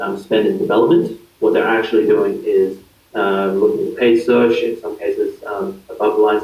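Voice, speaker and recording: A man in his thirties with an Australian accent, explaining his work as a guest in a relaxed interview, with many fillers and restarts. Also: on the low side. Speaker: guest, digital consultant. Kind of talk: casual interview answers. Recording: far from mic, echoey, dull lo-fi.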